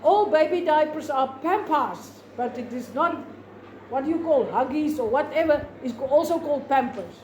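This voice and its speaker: A woman's voice: high-pitched, animated, normal speed, darkish tone. A South African woman, a native Afrikaans speaker, teaching a class in an informal, rambling way with many restarts. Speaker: lecturer. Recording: echoey room with faint noise.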